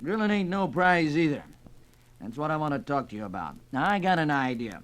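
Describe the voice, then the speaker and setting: A folksy mine worker with schemes in an old radio play. His voice sits a little high and moves up and down a lot as he makes his plainspoken pitch. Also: big pitch swings, a little fast, a bit bright. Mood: confiding, persuasive. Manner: folksy, persuasive. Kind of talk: 1950s radio drama acting.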